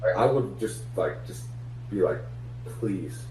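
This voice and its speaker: A man with a low, mellow voice, a comedian guest talking through his stage experiences in an easy, reflective way. He is recorded from a distance in a roomy space with a faint hum underneath. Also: expressive, takes it slowly. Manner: reflective, self-analytical, many fillers. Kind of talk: casual interview chat. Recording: echoey room, distant mic, faint hum.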